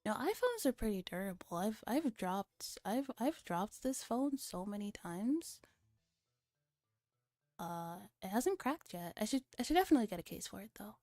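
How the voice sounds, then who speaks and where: Mellow-voiced giggly woman streamer. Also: mid-range voice, very animated, moderate pace, no room sound, studio quality. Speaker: VTuber streamer, host. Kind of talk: casual livestream chat, reading a visual novel aloud.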